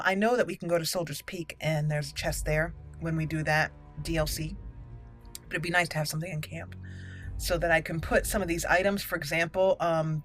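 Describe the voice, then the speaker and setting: A woman's voice: on the low side, fairly bright tone. Chatty woman doing game commentary. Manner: chatty, easily amused. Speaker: gaming channel host. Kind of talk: casual roleplay gameplay commentary.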